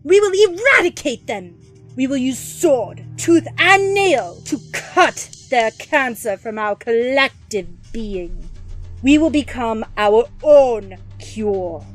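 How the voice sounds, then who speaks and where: A woman's voice: on the high side, expressive, takes it slowly, soft background music. A woman playing a proud, ruthless female ninja clan leader, giving solemn, formal oratory in a comic-book audio drama. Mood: vengeful, rallying.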